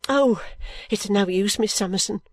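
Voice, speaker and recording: A woman voicing a sulky, overworked young woman who complains abruptly and breaks into pitched outbursts. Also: normal speed, dry recording, clean studio sound, close to an everyday voice. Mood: aggrieved.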